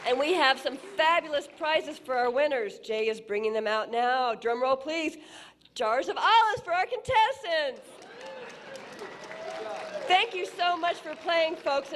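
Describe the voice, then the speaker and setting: A woman acting as a gracious radio quiz host of the 1940s. Her voice sits high. Clear noise runs behind the live stage recording. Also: animated, unhurried, a bit bright, some chatter behind. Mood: upbeat, gracious.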